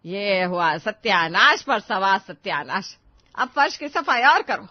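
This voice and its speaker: A woman's voice: mid-range voice, big pitch swings, takes it slowly, dry recording, highs cut off. A woman voicing a domineering middle-aged Indian mother-in-law, exasperated and dramatic in a family story. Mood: loud, scolding.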